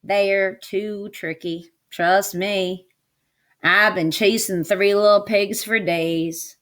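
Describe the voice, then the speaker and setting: A woman voicing a hungry, sly male wolf from a storybook, polite and confiding in a smooth-talking manner. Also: mid-range voice, steady tone, slow pace, a bit bright. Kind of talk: storybook character voice.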